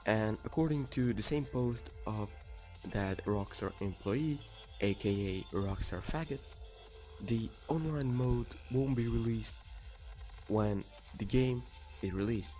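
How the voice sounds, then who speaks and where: A man with a raspy, hoarse voice from being sick, narrating an informal game preview for viewers with enthusiasm. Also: on the low side, slow, mellow, faint music behind. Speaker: gaming YouTuber.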